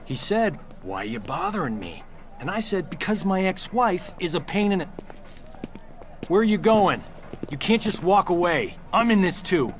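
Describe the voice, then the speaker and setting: Cocky, exasperated wisecracker for a fighting game: raised pitch, very animated, casual. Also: male voice, moderate pace. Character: cocky, exasperated male action-star ex-husband. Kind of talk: video game cutscene acting.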